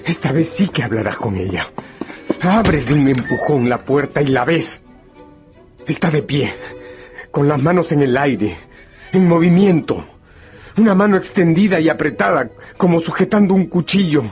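A man's voice: fairly high pitch, expressive, normal speed, darkish tone, lightly acted. A man narrating a gothic radio drama in a solemn, suspenseful, literary style. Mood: urgent, suspenseful. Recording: radio play with music underneath.

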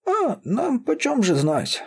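Male reader as old female caretaker for an audiobook, high and creaky, plaintive, whining, slow to let words go. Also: wildly swooping pitch, heavily acted. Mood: plaintive, matter-of-fact. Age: elderly. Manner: whining, tearful, drawn-out gossiping. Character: plaintive half-deaf old woman caretaker. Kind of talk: audiobook character voice.

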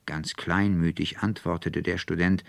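A man narrates a classic story as an expressive audiobook reader. His voice is deep and gravelly, recorded dry and close. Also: unhurried, no echo. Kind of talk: expressive scripted literary narration.

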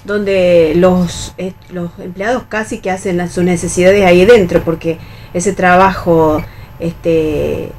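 A woman's voice: lowish voice, fairly even intonation, slow pace, a little mellow. Argentine lady on a radio panel, chatty, stops and starts, asks for agreement, slight background noise. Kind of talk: casual round-table discussion.

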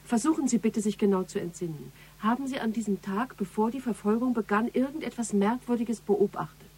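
An actress playing a composed police investigator in a radio crime drama, measured, matter-of-fact and probing. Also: female voice, on the high side, mellow, husky.

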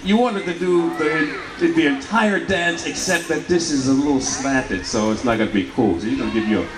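A man's voice: a little high, normal speed, echoey room, rough recording. A man emceeing an outdoor folk festival stage, announcing acts with joking banter.

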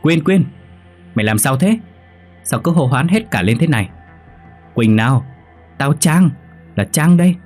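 Casting: male storyteller as young college woman, caring roommate, blunt and exclaiming, slightly husky tone, faint music underneath. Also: fairly low pitch, normal speed, a little mellow. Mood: pained alarm. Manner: bossy, colloquial, exclaiming. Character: blunt, caring young female student, the sick girl's roommate.

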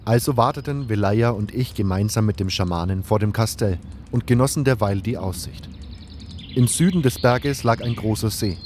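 Erzähler mit Vogelgezwitscher im Hintergrund. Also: male voice, lowish voice, moderate pace, a little mellow, slight background noise. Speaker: narrator and hero of fan audio drama. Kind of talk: scripted first-person story narration.

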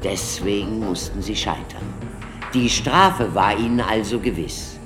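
An actor in a German radio play as a theatrical doctor who lectures an audience like a showman, declamatory and deliberate. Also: lowish voice, over music, lightly acted. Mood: grave, rhetorical.

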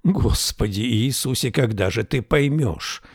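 A man reading a storybook voices a proud, sharp-tongued woman ruler who answers in curt, scornful retorts. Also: medium pitch, expressive, measured pace, husky, dry recording, studio quality. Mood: defiant.

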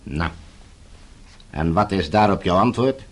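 An actor in a radio play voicing a cold communist agent, measured and quietly threatening. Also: male voice, low voice, animated. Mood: controlled, impatient. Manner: controlled, measured, veiled threat. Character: cold, menacing male communist state agent interrogating old acquaintance.

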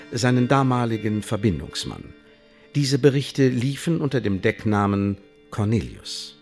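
An actor playing a calculating intelligence official in a radio play, speaking in a formal, measured, officious way with quiet menace. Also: male voice, medium pitch, lightly acted.

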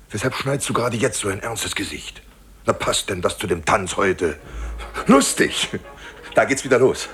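Husky, jovial old village mayor. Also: male voice, low voice, a bit bright, full character voice. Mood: teasing concern. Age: older. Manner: hearty, jolly, urging. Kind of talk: 1950s German radio drama acting. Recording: 1950s radio drama on cassette, music behind.

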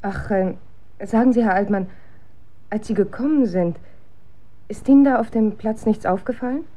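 An actress playing an observant, opinionated wife in naturalistic radio drama, conversational and pointed. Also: female voice, mid-range voice, normal speed, mellow, natural voice. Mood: probing.

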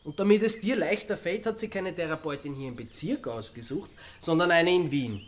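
An actor playing a wry Austrian amateur sleuth in a radio crime drama, needling and reasoning aloud with sardonic calm. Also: male voice, on the high side, brisk, lightly acted. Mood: sardonic, explaining.

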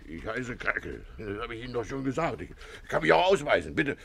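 Stammering, creaky old grandpa. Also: male voice, mid-range voice, very animated, a little fast, fairly bright tone, slight background noise. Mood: anxious, whining. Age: older. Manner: stammering, repeating words, plaintive. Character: flustered, whining harmless old grandpa, timid and anxious. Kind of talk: German radio play acting, 1950s.

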